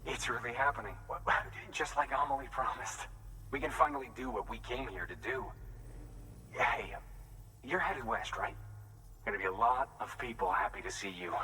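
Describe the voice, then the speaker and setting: An actor playing a folksy, earnest outpost worker in a video game, friendly and wistful, talking in a rambling, halting way. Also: male voice, mid-range voice, pushed and bright, far from the mic, natural voice, poor audio. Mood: hopeful, excited. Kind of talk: cinematic video game dialogue.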